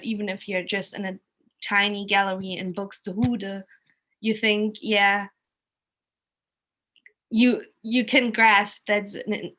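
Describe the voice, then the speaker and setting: A woman's voice: medium pitch, a bit bright. A woman with a German accent, a native German speaker, talking casually and emphatically in an informal, opinionated way. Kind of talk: casual, animated conversation.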